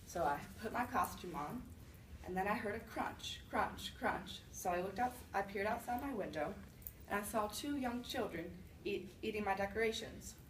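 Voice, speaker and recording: Woman acting as indignant old widow telling her side of a fairy tale, chatty n halting, far from the mic w faint noise. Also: mid-range voice, animated, reverberant, lightly acted. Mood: explaining, aggrieved. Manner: conversational, self-justifying, with halting restarts. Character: indignant old widow accused of being a witch. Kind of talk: first-person storytelling monologue.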